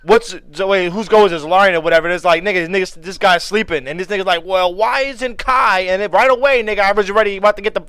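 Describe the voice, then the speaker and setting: A fast-talking man argues with his fellow players, repeating his point and calling them son. His voice is high and the sound is dry and close to the mic. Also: sharp tone, clear, resonant tone, no room sound. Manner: argumentative, repeats himself, calls people son. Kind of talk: heated banter between players.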